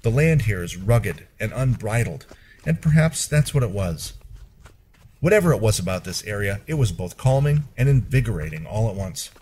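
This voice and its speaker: A man narrating a first-person fantasy story in a measured, expressive storyteller's delivery. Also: on the low side, slightly rough.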